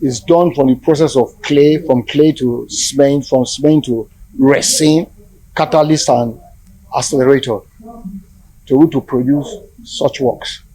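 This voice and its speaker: A man's voice: medium pitch, fairly even intonation, unhurried, warm tone, rough recording. A man with a Nigerian accent, an artist explaining his work informally in an interview.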